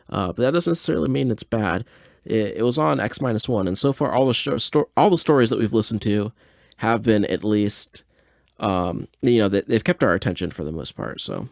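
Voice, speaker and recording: Male podcast host: informal and rambling, lowish pitch, very dry close-mic sound. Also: vocal fry, dry recording. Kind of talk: casual, chatty show introduction.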